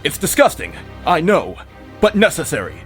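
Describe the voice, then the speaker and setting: A man's voice: a little high, huge pitch swings, moderate pace, faint music behind. A man voicing a megalomaniac mad scientist villain who lectures in a grandiose, sneering way, for a fan comic dub. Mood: scornful lecturing.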